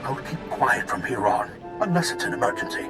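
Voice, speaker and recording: A man playing an elderly, theatrical, wise Jedi master in a video game, with dramatic pauses and drawn-out mentor phrasing. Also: medium pitch, lively intonation, loud music behind the voice, lightly acted. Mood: brisk, commanding.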